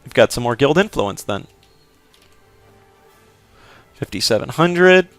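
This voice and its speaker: A young man commenting on his game in a joking, offhand way, often getting excited. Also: medium pitch, slow, no room sound, faint music behind. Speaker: let's play host. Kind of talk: casual gameplay commentary.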